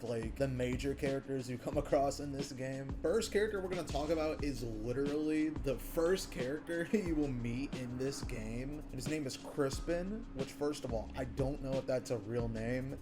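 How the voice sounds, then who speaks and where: A man giving informal, sweary, amused commentary as he reviews a video game. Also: medium pitch. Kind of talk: casual, conversational game commentary. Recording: music playing under the voice. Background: music.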